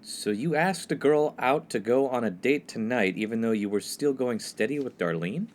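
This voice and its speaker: A man's voice: medium pitch, expressive, normal speed, close to an everyday voice. A man playing an earnest, exasperated young college freshman in a comedic radio play, indignant and sarcastic. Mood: incredulous. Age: young adult. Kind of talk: comedic 1950s-set radio play acting. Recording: faint background noise.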